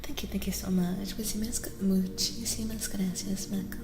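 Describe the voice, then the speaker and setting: A woman speaking softly in a casual ASMR style as a streamer giving a tarot reading, gentle and unhurried, often dropping into whispers. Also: medium pitch, steady tone. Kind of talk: soft-spoken ASMR, casual live chat and reading. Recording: echoey reverb with soft music.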